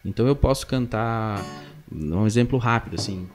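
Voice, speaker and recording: A man's voice: on the low side, normal speed, faint music behind. A young man with a southern Brazilian accent explaining things in casual conversation as a podcast guest, tagging his points with little check-in questions, close on a studio microphone. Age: young adult. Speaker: podcast guest, singing teacher. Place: small indoor podcast studio. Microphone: close podcast microphone on boom arm.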